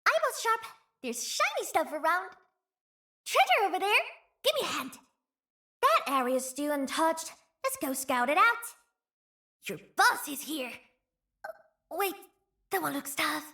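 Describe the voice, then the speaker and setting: A woman voicing a brash, scrappy young female gang leader in a video game, cocky and boastful, hushed and wistful when she remembers. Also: extremely high pitch, big pitch swings, measured pace, long pauses, full character voice. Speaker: voice actor. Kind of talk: video game character voice lines.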